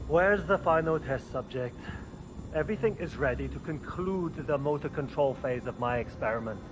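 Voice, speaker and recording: An actor playing an obsessive, self-absorbed scientist who mutters clipped clinical commands in a video game. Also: male voice, a little high, animated, moderate pace, background noise, lightly acted. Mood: impatient.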